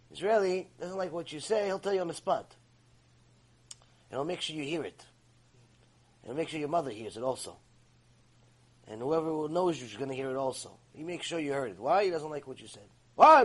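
A middle-aged man teaches Torah to a class. He talks with lively energy, repeating words and filling pauses as he works through his points. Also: a little high. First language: Hebrew. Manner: animated, repeats words, fillers. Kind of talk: Torah lecture.

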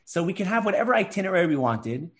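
Animated man telling a story. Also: high voice, fast talker. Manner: animated, repeats words while telling stories. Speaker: guest speaker. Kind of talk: storytelling talk.

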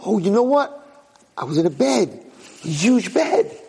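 A man's voice: medium pitch, lively intonation, rapid-fire, vocal fry, darkish tone, husky. A man voicing a desperate, distrustful young kitchen slave boy in a told story. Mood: amazed.

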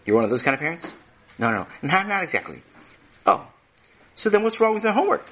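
A man's voice: on the high side, big pitch swings, fast talker, pushed and bright, heavily acted. A man doing an effusive chatty teacher. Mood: lecturing. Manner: effusive, rambling, talks over others. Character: chatty, eager male teacher.

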